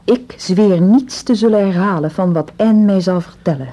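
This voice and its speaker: An actress playing a frightened, insistent young English woman in a radio drama, speaking earnestly and deliberately. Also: medium pitch, mellow, lightly acted. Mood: urgent, insistent. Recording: dull, lo-fi radio drama recording.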